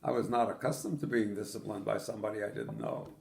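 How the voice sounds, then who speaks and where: A man's voice: fairly low pitch, steady tone, fast talker, vocal fry. An older man from Poland, telling his childhood memories in an oral history interview with dry, matter-of-fact humor.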